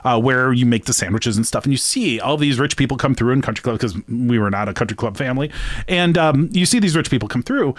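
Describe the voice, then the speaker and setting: A man hosting a sports betting channel, talking alone to his viewers in a rambling, earnest, conversational way that swings between emphatic bursts and hushed asides. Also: medium pitch, fast talker, no room sound. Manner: long-winded. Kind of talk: reflective solo monologue to camera.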